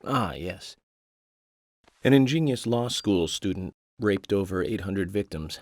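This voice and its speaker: A man playing an eccentric, polite FBI agent in a video game, speaking in a measured, deadpan, blithely morbid way. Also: sits low, dry recording, clean studio sound. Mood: matter-of-fact, morbid. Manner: deadpan, measured, matter-of-fact about grisly things. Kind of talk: video game cutscene acting.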